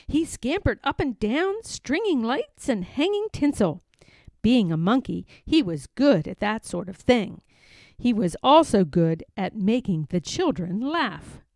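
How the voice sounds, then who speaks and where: A woman reading a children's picture book aloud in an expressive, sing-song way. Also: fairly high pitch, measured pace, no echo, clean studio sound. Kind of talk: storybook read-aloud.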